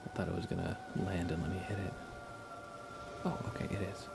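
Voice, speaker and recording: Low, creaky-voiced man, hushed gamer chat. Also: steady tone, fairly quick, heavy background noise. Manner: hushed, often slipping into near-whisper. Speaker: livestreamer and game player. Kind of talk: soft ASMR gaming commentary, casual chat.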